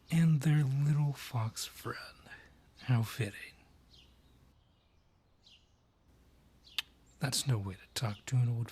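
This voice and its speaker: A man's voice: medium pitch, mellow, husky. An actor voicing a haughty male dryad with a grudge in an audio roleplay, sardonic and theatrical, drawing out each taunt. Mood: mocking, menacing. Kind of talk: scripted audio roleplay acting.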